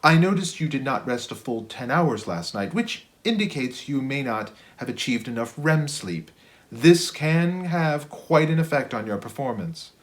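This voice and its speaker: A fussy, motherly robot caretaker from a children's storybook, voiced by a male reader. She speaks in formal, precise, solicitous phrases and nags gently like a careful parent. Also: medium pitch, lively intonation, a little slow, lightly acted. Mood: concerned, reassuring.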